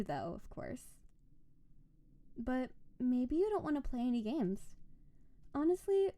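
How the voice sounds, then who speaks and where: Casting: woman to play a yandere snow-spirit wife for intimate roleplay audio; high, soft-toned voice, sweet and clingy with quiet menace. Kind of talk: intimate scripted roleplay audio addressed to the listener.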